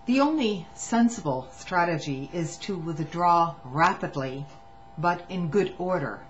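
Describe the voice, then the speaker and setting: A woman with a lowish voice reads a formal statement aloud, steady and measured, with a slip or restart here and there. The recording is dull and lo-fi, and a faint electrical hum sits underneath it. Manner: measured reading with occasional stumbles and restarts. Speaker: reader of a public document. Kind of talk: reading testimony aloud.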